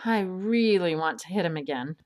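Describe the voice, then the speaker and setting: A woman's voice: lowish voice, lively intonation, moderate pace, a little mellow, close to an everyday voice. A woman acting a practical swashbuckling heroine in an audio drama, with a dry, sardonic manner. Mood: impatient.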